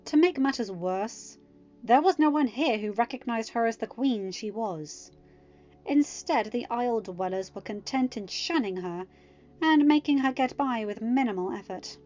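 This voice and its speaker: A woman reading fan fiction aloud in an expressive storytelling manner. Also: fairly high pitch, moderate pace, lo-fi sound, soft background music.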